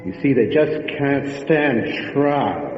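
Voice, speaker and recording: A man's voice: mid-range voice, animated, slow pace. A man playing a ghoulish, pun-loving horror host in an old-time radio style, theatrical and darkly joking. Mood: gleefully morbid. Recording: muffled, long cavernous reverb, music behind. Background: music.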